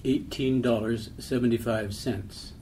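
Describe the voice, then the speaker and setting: Male poetry reader, mellow tone, measured and formal, faint background noise. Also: medium pitch, fairly even intonation, rough recording. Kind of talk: literary poem reading.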